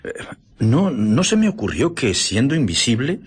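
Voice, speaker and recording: An actor playing an astonished, attentive doctor in a radio drama, speaking hesitantly in Castilian Spanish with a husky voice. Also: male voice, mid-range voice, sing-song, moderate pace. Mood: puzzled. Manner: hesitant, startled interjections.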